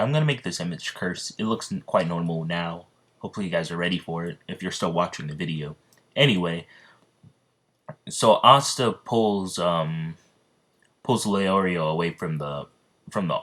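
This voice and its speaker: A young man with a very low voice tells a made-up story off the cuff. He sounds sleepy and rambles, often restarting his sentences. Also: fairly bright tone. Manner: rambling, many ums and restarts. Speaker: YouTuber narrating a fan story. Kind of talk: casual improvised storytelling.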